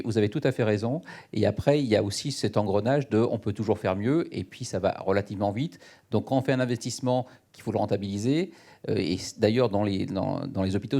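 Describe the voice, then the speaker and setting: A man's voice: on the low side, fast talker, warm tone. A man giving informal, explanatory remarks as a speaker on a discussion panel.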